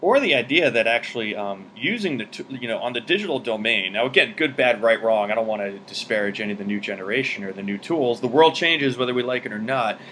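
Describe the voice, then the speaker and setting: A man's voice: mid-range voice, lively intonation, quick pace, hardly any pauses, fairly bright tone, slight background noise. A man in his thirties hosting a podcast, introducing and chatting with his guest in an enthusiastic, friendly way. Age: 30s. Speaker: podcast host. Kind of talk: casual interview chat.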